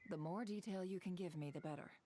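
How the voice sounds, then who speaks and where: A woman's voice: fairly low pitch, fast, mellow, slight background noise, close to an everyday voice. An actress playing a shrewd, self-assured woman intelligence broker in a video game, with a cool, dry, probing manner.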